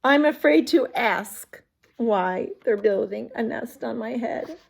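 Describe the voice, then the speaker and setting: Woman doing a storybook elephant, male, fussy, worried, loud, high, swooping. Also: expressive, slow pace, creaky, slight background noise, exaggerated voice. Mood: upset.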